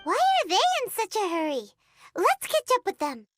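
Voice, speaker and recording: Tiny excitable girl sidekick for a game: squeaky, chirpy, hugely animated, light music. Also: moderate pace, heavily acted. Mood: curious, surprised. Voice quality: squeaky, childlike. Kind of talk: English video game voice acting.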